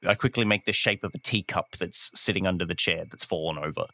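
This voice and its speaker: A man's voice: low voice, fast talker, no room sound. A man casually describing his character's moves as a player in a tabletop game. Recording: loud guitar music over voice.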